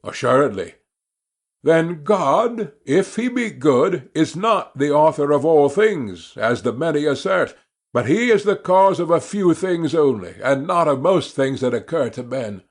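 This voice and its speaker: A man narrating a classical philosophical dialogue as an audiobook reader, in a measured, formal manner. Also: a little high, lively intonation.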